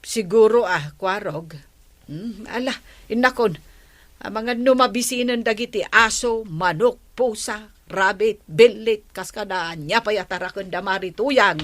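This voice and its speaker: A woman's voice: mid-range voice, unhurried, fairly bright tone, natural voice. A woman with an Ilocano accent acting a kind village housewife who chides others in a radio comedy drama. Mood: caring, busy.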